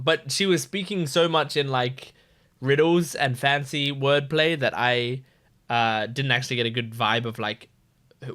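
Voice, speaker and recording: A man's voice: mid-range voice, bright, forceful tone. A man giving casual, informal reaction commentary as a host, rambling and swearing freely.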